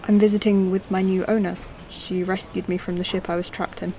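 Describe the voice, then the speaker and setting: A woman playing a courteous, curious old-model android in a sci-fi audio drama, speaking in a measured, polite way. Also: lowish voice, level tone, warm tone, a little hiss or hum, close to an everyday voice, low-quality recording. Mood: polite, guarded.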